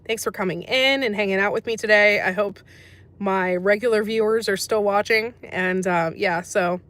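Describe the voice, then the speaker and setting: A woman's voice: mid-range voice, moderate pace, a bit bright, no echo. A woman from America hosting a casual livestream, chatting about herbal remedies and answering live chat messages with frequent laughs. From USA. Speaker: livestream host.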